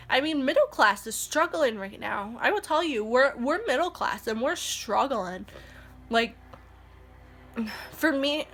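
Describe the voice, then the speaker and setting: A woman's voice: on the high side, fairly bright tone, a little hiss or hum. Stuffy-nosed woman vlogging at home. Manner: rambling and heartfelt, growing indignant. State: stuffed-up nose from allergies. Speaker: stay-at-home mom vlogger. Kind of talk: casual vlog talk to camera. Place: indoors at home.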